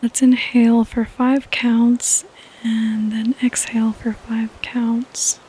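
Female meditation podcast host, slightly high but hushed and breathy, soothing, very slow. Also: flat delivery, rough recording. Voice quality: breathy, often near a whisper. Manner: soothing, gentle, unhurried. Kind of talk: soft-spoken guided sleep meditation.

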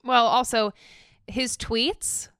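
A woman's voice: a little high, fairly even intonation, slow, fairly bright tone, clean tone, no echo, studio quality. An American woman co-hosting a podcast, talking through politics in casual chat. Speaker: podcast co-host.

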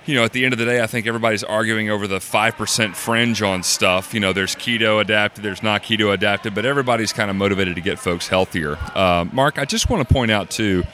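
A man in his thirties, a guest in a casual interview on a busy expo floor, with a low voice and an easygoing, joking way of talking. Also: quick pace, few pauses, bright, forceful tone, a little hiss or hum. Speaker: guest, cookbook author.

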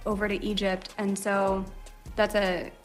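A woman's voice: mid-range voice, monotone, measured pace, faint music behind. A woman guesting on a podcast, chatting casually with a self-deprecating, storytelling manner. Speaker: podcast guest, travel expert. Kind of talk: casual interview chat.

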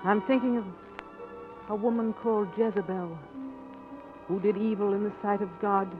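An actress playing an earnest, anxious Southern aunt in a 1940s radio drama, speaking with dramatic gravity. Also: female voice, mid-range voice, steady tone, normal speed, close to an everyday voice. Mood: somber. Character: anxious Southern aunt, grave and reflective narrator. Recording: tinny vintage radio, orchestral music behind.

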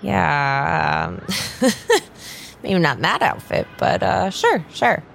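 A woman playing a casual, friendly young tarot reader in an improvised audio drama, talking in a halting, conversational way. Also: lowish voice, big pitch swings, vocal fry, a bit bright, slight background noise, full character voice.

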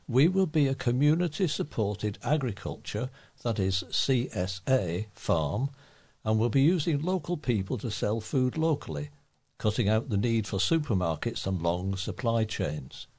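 A man's voice: medium pitch, sing-song, unhurried, darkish tone, slightly rough. A man reading a local newspaper report aloud as a volunteer newsreader. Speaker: volunteer local news reader.

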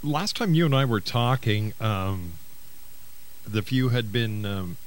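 A man's voice: on the low side, slow. A man hosting a radio show, interviewing a guest and reading out announcements. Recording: hissy radio broadcast.

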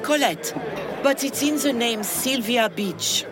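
An actress playing a wealthy, worldly older widow, elegant and teasing, in an audio drama. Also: female voice, a little high, lively intonation, takes it slowly, a crowd in the background, noisy background. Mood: gracious.

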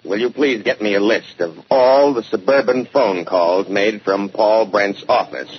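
A dull old radio recording with faint noise. An actor plays a polite, accommodating office official, low in pitch and a little hesitant. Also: male voice, measured pace, lightly acted. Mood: obliging, hesitant. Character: obliging, mildly hesitant phone company official. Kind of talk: 1940s radio acting.

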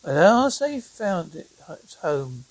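Husky, creaky storyteller reading haltingly. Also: mid-range voice, very animated, no echo, poor audio. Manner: halting, stumbling over words. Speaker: storyteller reading a fairy tale. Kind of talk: halting amateur read-aloud narration of a folk tale.

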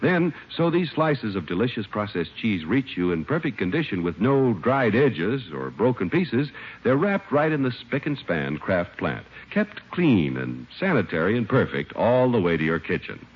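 A man's voice: lowish voice, sing-song, moderate pace, slightly rough. A man announcing in 1950s radio style, reading advertising copy and narration with emphatic, polished salesmanship. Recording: muffled old radio recording.

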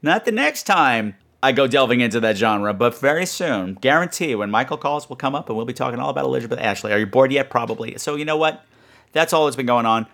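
A man with a very animated delivery hosts a show as a casual monologue. He rambles like a comic storyteller, tossing in sarcastic asides and salty language. His pitch moves around freely. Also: quick pace. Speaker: podcast host.

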